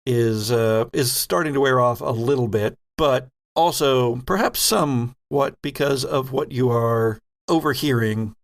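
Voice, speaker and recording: Gravelly-voiced man as game master. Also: medium pitch, measured pace, few pauses. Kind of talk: narrating a tabletop horror role-playing game.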